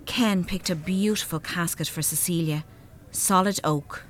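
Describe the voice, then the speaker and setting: Actress as low-voiced, hard-nosed Irish woman. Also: measured pace, a little hiss or hum, close to an everyday voice. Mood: businesslike. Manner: matter-of-fact, dry. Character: hard-nosed, spiteful, practical Irish adult daughter. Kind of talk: radio play acting.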